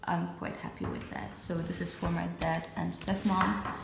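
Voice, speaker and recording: Young female vlogger, gravelly and a little low, chatty, filmed on a phone in an echoey home room with noise behind. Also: flat delivery, moderate pace. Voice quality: slightly raspy from just waking up. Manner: chatty, self-narrating while wrapping gifts. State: hiccups. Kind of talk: casual vlog chat. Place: home kitchen and living room.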